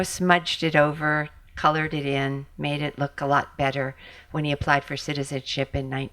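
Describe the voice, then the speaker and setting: Thoughtful, halting woman lecturer. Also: deep voice, a bit bright. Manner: thoughtful, halting with many pauses. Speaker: biographer and speaker. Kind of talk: lecture answering questions.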